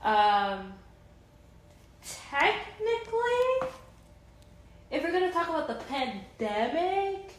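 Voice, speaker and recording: Slow, rambling woman in an echoey room. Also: very high voice, very animated, slight background noise. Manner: rambling, enthusiastic, many fillers and restarts. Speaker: vlogger. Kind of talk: casual vlog chat.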